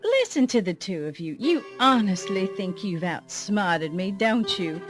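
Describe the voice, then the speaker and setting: An actress as an imperious, sly businesswoman in an audio drama. She speaks at a measured, unhurried pace with syrupy condescension, over background music. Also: mid-range voice, sing-song. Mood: gloating. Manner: deliberate, mock-sweet, condescending. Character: imperious, scheming businesswoman, sly and taunting toward rivals.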